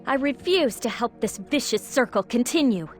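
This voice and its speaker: A woman's voice: fairly high pitch, lively intonation, normal speed, soft background music, natural voice. A woman voicing a resolute, noble young princess in a fantasy video game, speaking in a composed, formal, earnest way. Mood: defiant. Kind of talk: video game cutscene acting.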